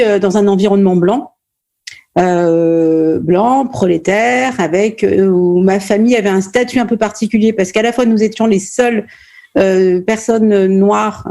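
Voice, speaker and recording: A middle-aged woman from Normandy answers interview questions in a mellow, warm voice at a middling pitch. She speaks thoughtfully, pausing often and restarting her sentences as she searches for words. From France, Normandy. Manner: thoughtful, many hesitations and restarts. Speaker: playwright and stage director, guest.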